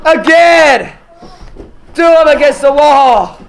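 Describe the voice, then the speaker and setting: A man giving excited, exclamatory mock wrestling commentary as the play-by-play announcer. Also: very high voice, takes it slowly.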